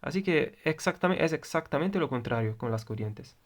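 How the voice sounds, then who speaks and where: A man's voice: a little high, animated, normal speed. A man with an Italian accent, a native Italian speaker, teaching an electronics lesson and often repeating and rephrasing his points. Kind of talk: explaining electronics on video.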